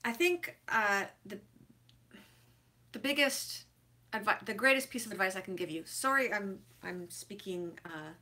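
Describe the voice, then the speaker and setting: A woman's voice: mid-range voice, lively intonation, a little slow, bright, forceful tone. A woman in her forties with a head cold, chatting casually and congested, with many fillers and restarts, giving writing advice in a vlog. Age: 40s. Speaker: author vlogger.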